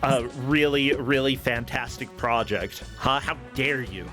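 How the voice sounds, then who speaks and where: A man's voice: medium pitch, unhurried, bright, forceful tone. A man hosting a livestream in a casual, chatty, effusive and informal way. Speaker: livestream show host. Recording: music playing behind the voice. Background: background music.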